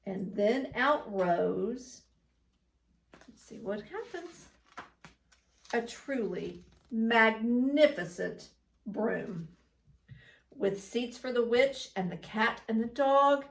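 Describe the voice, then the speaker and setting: A woman reading a children's picture book aloud as a bedtime story, expressive and deliberate, recorded some distance from the microphone. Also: fairly high pitch, creaky. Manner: dramatic, deliberate storytelling with asides.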